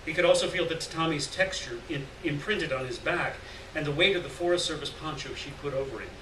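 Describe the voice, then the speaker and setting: Middle-aged male story reader, higher-pitched, dramatic and deliberate, roomy noisy live recording. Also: distant mic. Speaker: reader of short fiction. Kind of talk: live literary story reading, scripted narration. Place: indoors at a lectern in a gallery room.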